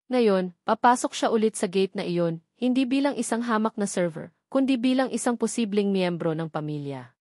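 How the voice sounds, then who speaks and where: Female audiobook narrator for serialized drama. Measured, solemn storytelling, mid-range voice, dry recording. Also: medium pitch, a little mellow, dry recording.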